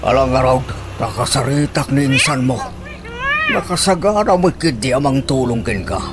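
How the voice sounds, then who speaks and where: A man's voice: mid-range voice, animated, normal speed, very noisy, lightly acted. A man playing a kindly middle-aged uncle in a radio drama, giving cautious, concerned advice. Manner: advising, concerned. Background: loud music.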